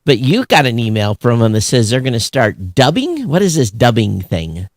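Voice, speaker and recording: A man's voice: medium pitch, big pitch swings, normal speed, dry recording, studio quality. An American man chatting casually and unscripted as a podcast co-host, in a rambling, conversational way. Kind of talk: casual unscripted show chat.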